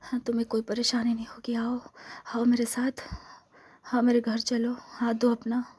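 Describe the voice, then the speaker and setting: A woman performs a lonely vampire seductress in an intimate ASMR role-play. Her voice is very breathy and husky, slipping into whispers, with a subdued, narrow melody. It stays soft and dark in tone as she lingers over coaxing, possessive words. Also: on the high side, monotone, moderate pace. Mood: possessive, protective.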